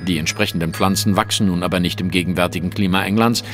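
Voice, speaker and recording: An actor playing a shrewd, self-assured gentleman detective in an audio drama, speaking in a precise, formal, lecturing manner. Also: low voice, steady tone, fast, over music, natural voice. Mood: lecturing, superior.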